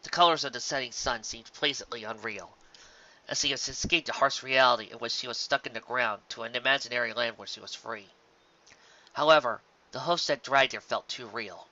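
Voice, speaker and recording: Bright-voiced man narrating fan fiction. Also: mid-range voice, brisk, muffled recording. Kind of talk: expressive story narration with occasional casual asides.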